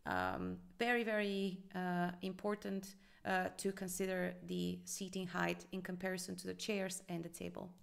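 Hesitant woman consultant, gravelly voice. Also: lowish voice, a bit bright. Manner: hesitant, many fillers, thoughtful. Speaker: restaurant consultant reviewing a design. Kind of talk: casual reaction commentary.

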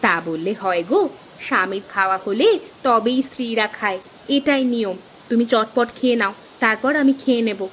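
A woman playing a caring, traditional village wife in a cartoon, with a brisk, fond, chiding manner. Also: on the high side, fairly bright tone, slight background noise, close to an everyday voice, rough recording. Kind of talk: cartoon voice acting.

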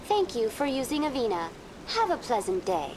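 A woman voicing a polite virtual guide program in a video game, formal and measured, narrating like a reference source. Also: fairly high pitch, big pitch swings. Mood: courteous. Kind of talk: scripted game lore narration. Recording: faint ambient noise behind.

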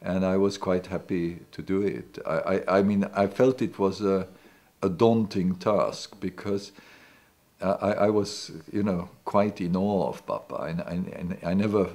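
Deep-voiced man, hesitant and reflective. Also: fairly even intonation, a little slow, darkish tone. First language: not English. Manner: hesitant, many restarts and "you know". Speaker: interviewee. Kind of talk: reflective recollection. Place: living room indoors. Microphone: off-camera, seated interview.

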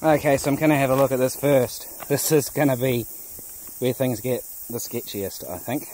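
Male off-road vlogger, casual and understated, average pitch, thinking aloud on a slightly noisy outdoor recording. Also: fairly even intonation, moderate pace, a little mellow. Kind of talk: casual off-the-cuff vlog commentary. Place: outdoors on a forest dirt track. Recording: noisy outdoor handheld camera audio.

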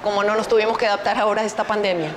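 A woman's voice: medium pitch, rapid-fire, crowd noise. A woman in her fifties from Uruguay, with a Uruguayan Spanish accent, speaking as a guest in a TV studio interview on a lapel mic. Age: 50s. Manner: lively, animated, laughs easily, gestures with hands. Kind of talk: TV interview, casual chat. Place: TV studio with stone backdrop.

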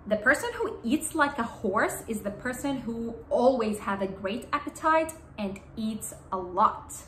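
A young woman whose first language is Arabic, speaking straight to the camera as an English teacher and repeating key phrases slowly and clearly. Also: on the high side, expressive, a bit bright. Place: small bright indoor room. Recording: roomy indoor sound with faint room hum.

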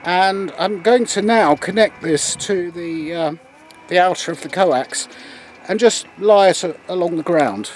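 A British man in his sixties gives a casual, unscripted practical demonstration outdoors, explaining in a halting way. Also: high voice, distant mic, rough recording. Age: 60s. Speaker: presenter of radio antenna demonstration. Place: outdoors in a large grassy garden. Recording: outdoor camcorder, clear background ambient noise.

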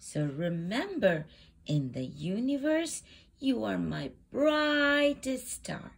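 Slow, husky woman reading a picture book. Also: mid-range voice, big pitch swings. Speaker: host reading picture books aloud. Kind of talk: expressive children's storybook read-aloud.

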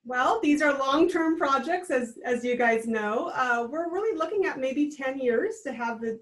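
A woman's voice: fairly high pitch, low-quality recording. An American woman in her thirties, a guest on a video-call interview, explaining in a friendly, upbeat way from a small room at home. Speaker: guest, regional trail program director. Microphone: webcam on a video call.

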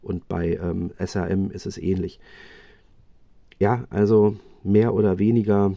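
A man reviewing knives in a chatty, casual way, often pausing with fillers and restarting his sentences. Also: low voice, little pitch movement, gravelly, mellow, no echo, muffled recording. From Germany. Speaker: knife reviewer. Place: indoors at a table, hands-only video.

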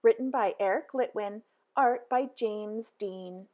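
A woman reading a children's picture book aloud in a slow, sing-song way, with big excited exclamations. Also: mid-range voice. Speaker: storybook reader.

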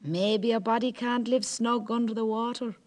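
A man's voice: extremely high pitch, steady tone, normal speed, pitched above the performer's own voice. A man voicing a sly, jovial old male merrow who talks in a knowing, wheedling way.

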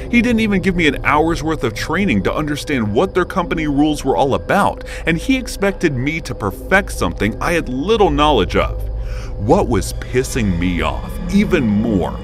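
A man narrating a first-person horror story in a studio voice-over, jumping up when indignant and going low and hushed in tense moments, over background music. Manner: expressive, pitch jumps up on indignant lines, drops low and quiet in tense moments.

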